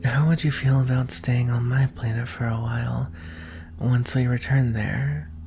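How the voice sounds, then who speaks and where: A man playing a gentle, vulnerable young alien prince in an ASMR roleplay, speaking softly and hesitantly, often in a whisper. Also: mid-range voice, fairly even intonation, slight background noise. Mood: earnest, affectionate. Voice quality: soft, breathy, often whispering. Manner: hesitant, earnest, slow with long pauses. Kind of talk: ASMR roleplay, soft intimate acting.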